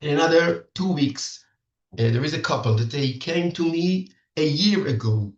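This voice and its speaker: A middle-aged man with an Israeli accent, a native Hebrew speaker, coaching parents in halting, earnest English full of restarts over a video call. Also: on the high side, expressive. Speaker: dating coach for singles. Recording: distant, roomy, dull video-call audio.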